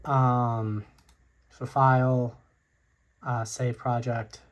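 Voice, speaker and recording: A tech video host casually walking through a product tutorial in a hesitant, halting, informal way. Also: mid-range voice, flat delivery, highs cut off.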